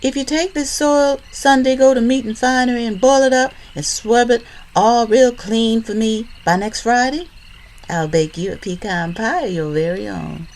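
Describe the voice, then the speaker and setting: A woman voicing a fussy country aunt in a storybook, talking quickly in a raised, coaxing voice. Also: fairly high pitch, a little mellow, voice pushed up, lightly acted, rough recording. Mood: bargaining.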